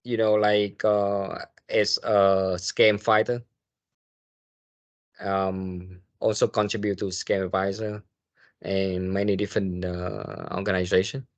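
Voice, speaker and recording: Slow, low-voiced man. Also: flat delivery. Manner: halting, hesitant phrasing. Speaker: cybersecurity investigator, guest speaker. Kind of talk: answering questions in an online meeting.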